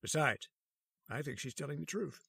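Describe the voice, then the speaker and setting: A gravelly old-school doctor. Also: male voice, a little high, expressive, pushed and bright, no echo, exaggerated voice. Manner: measured, reasoning, authoritative. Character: calm, learned hospital doctor. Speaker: voice actor. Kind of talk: old-time radio drama acting.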